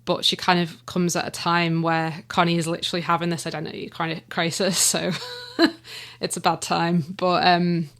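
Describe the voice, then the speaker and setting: A woman's voice: lowish voice, little pitch movement, normal speed, fairly bright tone. A woman with a Manx accent, chatting in a casual radio interview about her audio drama, laughing often and trailing off to avoid spoilers. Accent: Manx English. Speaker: audio drama writer and guest.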